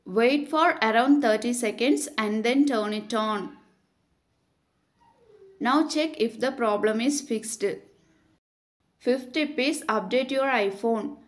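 A woman reading scripted step-by-step tutorial narration in a measured, instructional way. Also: fairly high pitch.

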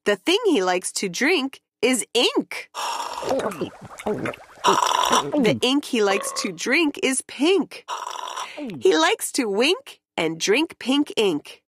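A woman narrating a rhyming children's picture book in an expressive, deliberate storytelling way. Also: sits high, noisy background.

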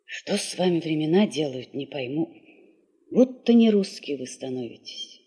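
A woman's voice: sits low, lively intonation, moderate pace, mellow, breathy edge, full character voice. A woman playing a pious old village aunt, folksy and reproachful, in a 1970s Soviet radio play. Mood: plaintive, reproachful. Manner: folksy, lamenting.

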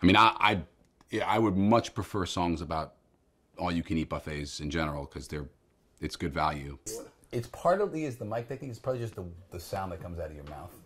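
رجل بصوت عميق جدا ولهجة نيويوركية. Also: male voice, deep voice, expressive, brisk, fairly bright tone, husky. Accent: New York. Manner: hesitant, rambling with restarts. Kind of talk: comedic scripted banter. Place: living room, indoors.

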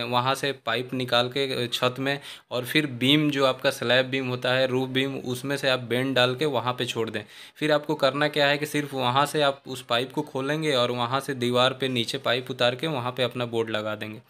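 A man's voice: medium pitch, a bit bright. A man explaining construction mistakes to viewers while walking through an unfinished brick house, recorded on a handheld phone. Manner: instructive, addresses viewers as friends, frequent restarts. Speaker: civil engineering channel host. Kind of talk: walkthrough explainer on a building site.

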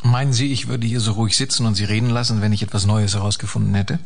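A man's voice: lowish voice, steady tone, fast talker, gravelly. An actor playing a confident fixer reporting to his boss in a radio play, assured and matter-of-fact. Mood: dry, frank. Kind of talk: German radio play acting.

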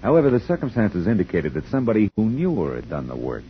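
Sardonic private eye, lowish voice, terse, lo-fi 1950s radio. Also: male voice, wildly swooping pitch, fast talker, breathy edge, full character voice. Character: wry hard-boiled male private detective. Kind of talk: 1950s radio drama acting and narration. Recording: dull lo-fi 1950s radio broadcast.

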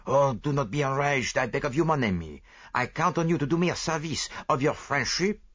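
A man's voice: medium pitch, lively intonation, brisk, a bit bright, husky, lo-fi sound, voice pushed up. A man doing a vain, fussy little detective. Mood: coaxing. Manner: fussy, precise, foreign-flavored phrasing. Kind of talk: audiobook character reading.

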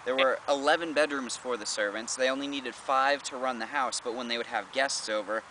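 A man's voice: mid-range voice, a little fast, pushed and bright, slight background noise, low-quality recording. A young man co-hosting a travel vlog outdoors on a lawn, casually adding facts and figures, picked up by a camera a few meters away. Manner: informative, adds facts and figures. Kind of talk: casual on-location chat. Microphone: camera mic from a few meters away.

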